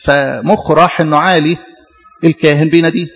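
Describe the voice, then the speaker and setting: High-pitched Egyptian man preaching in an echoing hall. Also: fairly even intonation, fast talker, creaky, fairly bright tone. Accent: Egyptian Arabic. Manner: emphatic and didactic, with rhetorical questions and repetition. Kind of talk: sermon retelling Bible stories in colloquial speech with scripture quotes. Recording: reverberant hall with long echo.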